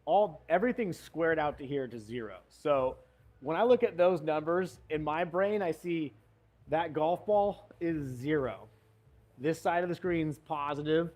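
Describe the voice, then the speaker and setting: A man's voice: fairly high pitch, expressive, measured pace, a little mellow. A man coaching a golf lesson, giving short cues and encouragement as the student swings. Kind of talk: hands-on golf lesson instruction.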